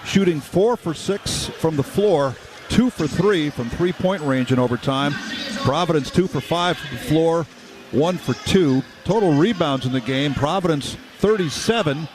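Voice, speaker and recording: A man's voice: fairly high pitch, unhurried. A man working as a color analyst on live sports radio, recapping stats and game situations.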